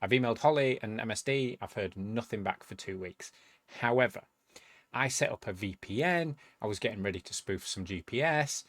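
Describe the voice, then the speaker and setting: A man's voice: on the low side, a little fast, no room sound. A man casually walking viewers through a step-by-step tech tutorial, explaining as he goes. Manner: relaxed, explanatory, thinking aloud while demonstrating. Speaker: tutorial video host.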